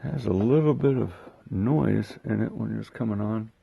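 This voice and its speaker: A man giving a casual hands-on demonstration, thinking aloud in an unhurried way as he handles the gear. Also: fairly low pitch, gravelly, breathy edge, lo-fi sound. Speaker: host of a gear unboxing and testing video.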